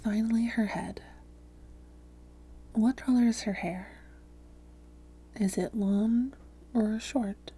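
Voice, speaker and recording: Woman acting as a smug female vampire, very dark mellow tone, slow coaxing delivery, soft and creaky, hypnosis roleplay audio. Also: mid-range voice. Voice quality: soft, breathy, often whispered.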